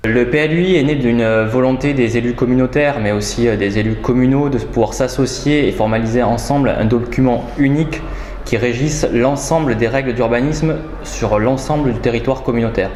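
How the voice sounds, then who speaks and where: A man with a medium-pitched voice gives formal, interview-style explanations as a local official. He picks his words with hesitation and measure. The room adds clear echo, with slight noise behind. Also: a little fast, a little mellow. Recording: roomy echo, faint background noise.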